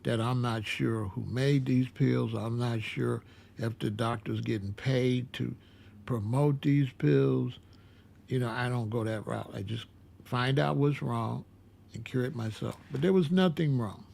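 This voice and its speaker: Gravelly man in his sixties, rambling. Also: mid-range voice, a little slow, a little mellow. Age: 60s. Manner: rambling, repeats himself, many fillers. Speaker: vlogger. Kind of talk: casual storytelling monologue.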